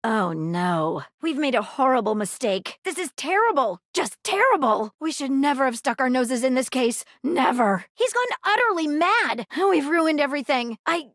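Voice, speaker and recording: A woman's voice: high-pitched, big pitch swings, moderate pace, hardly any pauses, a bit bright, dry recording, studio quality. A woman playing an earnest, inquisitive detective in a video game, with theatrical, articulate and courteous delivery. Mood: distraught. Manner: theatrical, articulate, formal phrasing. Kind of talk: acted video game dialogue.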